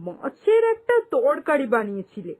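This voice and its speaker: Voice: high voice, wildly swooping pitch, normal speed, a little mellow. A performer voicing a weary, easygoing office-going husband in a moral-story cartoon, homely and plain in manner. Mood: content.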